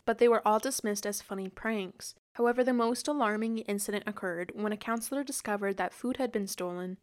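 A woman with a voice of ordinary middle pitch presents a true-crime case from a prepared script. Between the read passages she talks casually, with "like" fillers. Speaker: podcast host and presenter.

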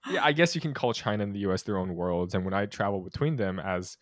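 Low-voiced young man musing casually. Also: expressive, fast, no room sound, clean studio sound. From United States. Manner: thoughtful, hesitant, with frequent restarts and laughs. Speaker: podcast co-host. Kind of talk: casual conversational podcast.

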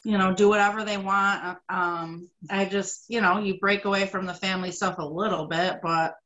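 Woman, 30s, upstate New York, relaxed chatty guest. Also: mid-range voice, normal speed, lo-fi sound. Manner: relaxed storytelling with frequent ums. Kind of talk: casual interview chat.

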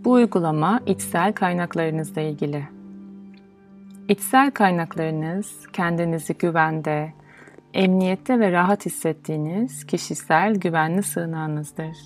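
A woman guiding a meditation in a soothing, measured, instructional way, leaving pauses between phrases. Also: lowish voice. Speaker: meditation guide. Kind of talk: guided yoga nidra meditation, instructional. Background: music.

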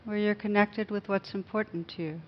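A woman leading a guided meditation as a teacher, speaking gently and unhurriedly with pauses. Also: medium pitch, fairly even intonation, lo-fi sound, slight background noise.

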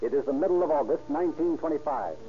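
Emphatic vintage radio announcer, tinny and thin, with a fairly high voice. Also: male voice, lively intonation, fast, soft background music. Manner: emphatic, declamatory. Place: radio studio. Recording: tinny old radio recording.

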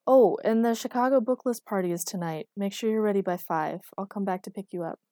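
A woman's voice: medium pitch, fairly quick, mellow, no echo, studio quality, natural voice. A woman voicing an exasperated, bossy boyfriend in audiobook dialogue, firm, nagging and long-suffering. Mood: patient, chiding. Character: exasperated bossy responsible boyfriend, a working man.

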